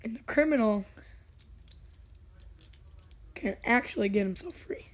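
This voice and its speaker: A young vlogger with a hoarse, worn voice, chatting and joking casually while unboxing. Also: medium pitch, animated, fairly quick. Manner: chatty, jokey, self-talking. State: hoarse, lost voice.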